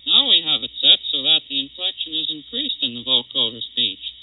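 A man giving a formal, measured technical demonstration in 1930s style, his speech turned synthetic and robotic by a vocoder. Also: a little high, very animated, a little hiss or hum. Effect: synthetic robotic vocoder processing. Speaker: laboratory demonstrator. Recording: muffled, phone-like 1939 test disc recording.

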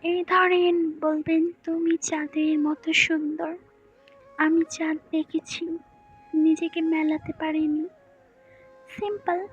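A woman's voice: very high voice, flat delivery, slow, pauses often, muffled recording. A woman playing a blunt, bossy young newlywed wife in an audio romance story, with a brusque, scolding way of talking. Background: music.

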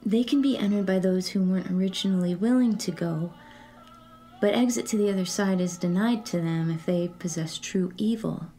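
An actress playing an eerie, secretive woman who believes in spirits, speaking in a measured, solemn, cryptic way in an audio drama. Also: mid-range voice, dark tone, soft background music, natural voice.

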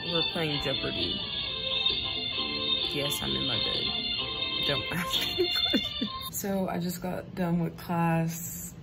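A woman's voice: sits low, steady tone, slow, vocal fry, pauses often, loud music behind the voice, low-quality recording. A young woman, a student athlete, chatting casually into a phone held at arm's length, laughing and teasing herself. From Canada. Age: young adult. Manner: chatty, laughing, self-mocking. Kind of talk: casual vlog chat.

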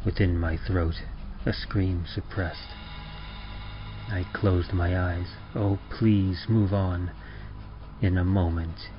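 A man with a very low voice reads horror poetry like an old radio show. His delivery is grave, theatrical and unhurried. The sound is dull, with prominent music behind him. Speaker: podcast presenter reading a horror poem. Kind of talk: dramatic rhymed poetry reading in old-time radio horror manner.

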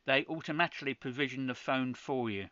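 Bright, clear-voiced man explaining setup. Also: medium pitch, a little fast, no room sound, dull audio. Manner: practical, step-by-step, with frequent restarts. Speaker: ham radio channel host. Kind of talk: screen-recorded tutorial.

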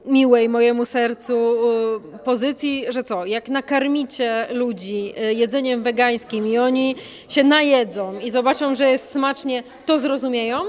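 A woman's voice: fairly high pitch, moderate pace. Playful woman reporter with a mic. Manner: playful, teasing, hesitant questions. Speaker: interviewer, school TV reporter. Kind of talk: casual reportage interview. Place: school hallway. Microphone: handheld mic.

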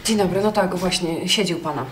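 An actress playing a casual, chatty office secretary in a naturalistic film drama. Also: female voice, fairly low pitch, fairly quick, slight background noise, natural voice.

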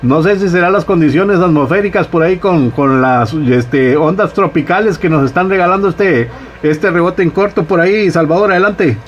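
A man's voice: high voice, moderate pace, dull audio, noisy background. A man from Costa Rica with a Costa Rican accent, chatting in a friendly way over ham radio and using radio jargon. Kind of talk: casual radio chat.

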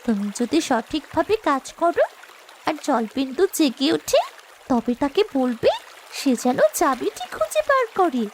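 A woman's voice: high-pitched, very animated, a little slow, slight background noise. A woman voicing a brave human child in a fairy-tale cartoon, speaking in an earnest, urgent way. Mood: cautioning.

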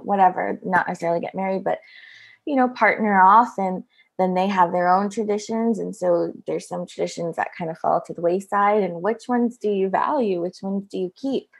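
A woman in casual podcast conversation, relaxed and thoughtful, laughing often. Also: mid-range voice, gravelly.

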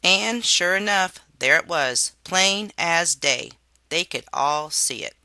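A storybook reader giving an expressive read-aloud narration for children. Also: medium pitch, takes it slowly, no echo.

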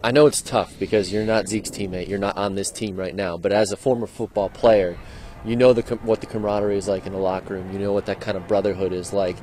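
A man's voice: on the low side, fairly even intonation, quick pace, warm tone. A man in his thirties giving a live postgame TV report from an outdoor football stadium at night, speaking into a handheld mic in an earnest, questioning way. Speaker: sports reporter. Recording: faint stadium noise outdoors.

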